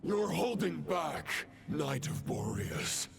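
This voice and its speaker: An actor playing a grim, ancient fallen knight who serves dark powers, declaiming self-righteously in an archaic, pompous manner for a video game. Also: male voice, on the high side, very animated, slow pace, gravelly, exaggerated voice. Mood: menacing, righteous. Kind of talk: dramatic video game voice acting. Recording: music under the voice. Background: game music.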